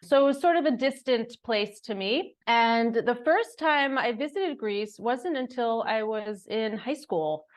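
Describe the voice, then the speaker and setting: A woman's voice: on the high side, lively intonation, measured pace, hardly any pauses. A woman from New York with an American accent, talking casually about her own life as an interview guest. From New York, United States. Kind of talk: casual conversational storytelling.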